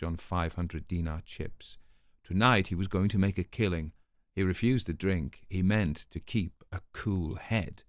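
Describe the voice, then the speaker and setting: A man narrating a crime story from a script in a measured, articulate, dramatised way. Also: low voice, dry recording, studio quality.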